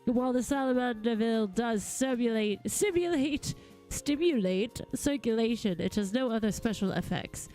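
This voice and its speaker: A woman voicing a flamboyant, grandiose gentleman inventor from a fantasy game, with florid, theatrical phrasing. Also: on the high side, expressive, moderate pace, faint music behind, close to an everyday voice, low-quality recording. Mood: grandly explaining. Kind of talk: live read-aloud of game dialogue.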